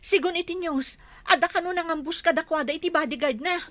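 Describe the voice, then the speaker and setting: A woman's voice: extremely high pitch, fast, fairly bright tone, no echo. A woman with an Ilocano accent playing an anxious, deferential aide to a general in a radio drama. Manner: flustered, deferential.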